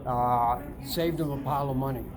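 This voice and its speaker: A man in his ninetieth year, talking casually from inside a sauna, halting with many fillers and restarts. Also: mid-range voice, crowd noise. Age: older. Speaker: sauna customer. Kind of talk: casual testimonial chat. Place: indoors, seated in a sauna.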